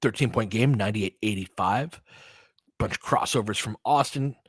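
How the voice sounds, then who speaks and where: A man's voice: fairly low pitch, normal speed, slightly rough, dry recording. Man calling basketball, dry close sound. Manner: conversational, analytical, uses clipped phrases during play. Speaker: basketball play-by-play commentator and analyst. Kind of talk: casual live game commentary with tactical asides.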